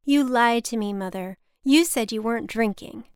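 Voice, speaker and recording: Lady playing a worried grown daughter, high clear voice, pleading and placating, audiobook dialogue. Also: normal speed, no echo, studio quality, lightly acted. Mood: reproachful. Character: anxious, dutiful adult daughter pleading and placating.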